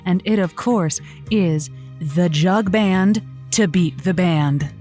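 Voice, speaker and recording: A woman's voice: on the low side, slow, mellow, soft background music. A woman playing an earnest, encouraging radio advice host in a comedic audio drama, speaking close to a studio microphone. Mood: signing off.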